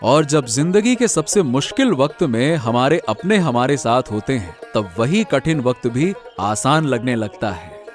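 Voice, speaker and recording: Man acting expressive cartoon village family man. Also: mid-range voice, measured pace, soft background music. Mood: proud, tender. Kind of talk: animated moral story acting.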